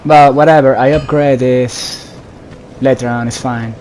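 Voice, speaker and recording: Guy doing chill gameplay commentary, pretty monotone, soft dark voice, bit of game noise behind him. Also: mid-range voice, unhurried. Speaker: video game player commentating. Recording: background noise, game ambience. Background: game sounds.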